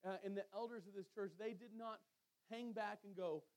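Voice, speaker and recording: A man preaching a sermon as storytelling and scripture reading, using emphatic pauses and repetition. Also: high-pitched, fairly even intonation, a little mellow.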